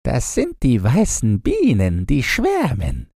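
A man voicing a kindly old grandmother who tells winter tales in a slow, hushed storytelling manner. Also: mid-range voice, wildly swooping pitch, gravelly, dry recording, studio quality, voice pushed up, exaggerated voice. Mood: gentle, mysterious.

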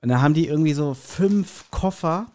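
Higher-voiced man chatting casually. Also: fairly quick, warm tone. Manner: joking, colloquial, blunt. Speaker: podcast host. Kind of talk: casual chat, nostalgic anecdotes.

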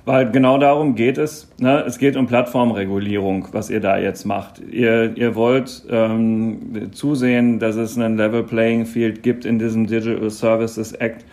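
Gravelly-voiced man hosting a podcast. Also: lowish voice, measured pace, hardly any pauses. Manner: relaxed, frequent hesitations. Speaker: podcast host. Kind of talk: podcast interview.

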